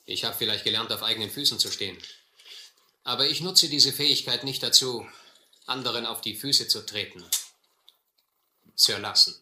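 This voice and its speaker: An actor playing a principled gentleman sailor in an audio drama, formal, restrained and quietly defiant. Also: medium pitch, normal speed, pauses often. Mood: pointed. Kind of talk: German audio adventure drama acting. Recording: roomy old radio-play recording.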